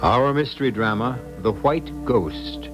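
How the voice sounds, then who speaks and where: A man's voice: mid-range voice, animated, unhurried. A man hosting a 1970s radio mystery drama with wry, dramatic, scripted narration. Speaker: host and narrator. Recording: old radio broadcast with music behind.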